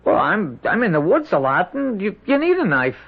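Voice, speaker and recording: Timid lad, high voice, stammering, deferential, earnest, lively intonation, vintage radio drama. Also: male voice, normal speed, creaky, breathy edge, heavily acted. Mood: nervous, deferential. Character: timid, earnest young American colonial country fellow. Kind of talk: 1970s radio drama acting. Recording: dull lo-fi vintage radio broadcast.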